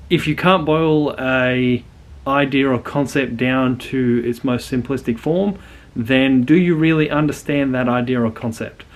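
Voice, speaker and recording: Earnest Australian man, rambling intro. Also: medium pitch. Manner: rambling and earnest, many fillers and restarts. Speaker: channel host. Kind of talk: casual talking-to-camera channel intro.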